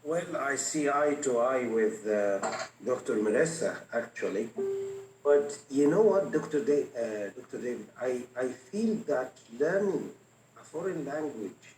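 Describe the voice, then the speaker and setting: Male panelist, measured speech, set back from the mic in a roomy space. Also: medium pitch, lively intonation, a little mellow. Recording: distant, echoey, faint noise.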